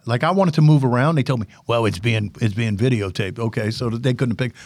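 An older man with a big voice, a guest on a podcast who tells his stories in a lively way and often restarts or repeats his words. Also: mid-range voice, a little fast, no room sound. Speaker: guest, former military public affairs officer and instructor. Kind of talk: casual podcast interview.

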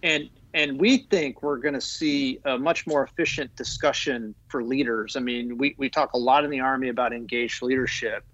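A man's voice: mid-range voice, steady tone, normal speed, few pauses, a bit bright, no echo, lo-fi sound. A man talking as an Army guest on a podcast, eager and loose, with many fillers and restarts. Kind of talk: conversational podcast interview.

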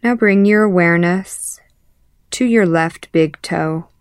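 A woman leading a guided meditation as an instructor, calmly and in short, measured phrases with pauses. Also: fairly low pitch, no echo, clean studio sound. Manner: calm, measured, pausing between short phrases. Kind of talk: guided body scan meditation.

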